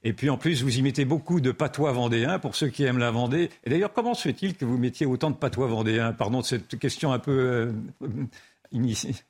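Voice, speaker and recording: A man's voice: mid-range voice, brisk, darkish tone, breathy edge. A man hosting a studio interview, asking long, courteous questions with frequent restarts. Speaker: host interviewer.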